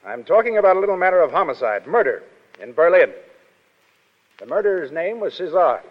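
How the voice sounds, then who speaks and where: Brash legionnaire, swooping, animated delivery. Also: male voice, sits high, fairly quick. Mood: defiant. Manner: blunt, slangy, insolent. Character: brash, defiant American-style Foreign Legion soldier, cynical. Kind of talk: 1930s radio drama acting. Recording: muffled 1930s radio broadcast, hall reverb.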